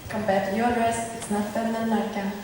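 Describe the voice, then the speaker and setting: Young woman playing a mouthy teasing maid on stage, highish voice, big echoey hall. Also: level tone, fast talker, a little mellow, close to an everyday voice. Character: cheeky, teasing, outspoken lady's maid. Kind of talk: amateur stage acting of Shakespeare comedy. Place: amateur stage performance in a reverberant room. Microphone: distant recorder. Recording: very echoey hall, distant mic, faint noise.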